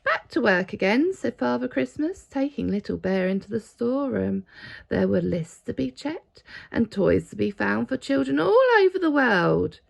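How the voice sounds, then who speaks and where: A woman's voice: on the high side, very animated, normal speed, creaky. A woman reading a children's picture book aloud expressively, with dramatic emphasis on key words. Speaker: storybook reader.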